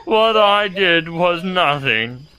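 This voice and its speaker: A man playing a gloomy, self-pitying donkey who grumbles pompously in a slow, halting, sardonic way in an audio storybook drama. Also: high-pitched, lively intonation, bright, forceful tone, a little hiss or hum, full character voice, low-quality recording. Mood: pompous, sour.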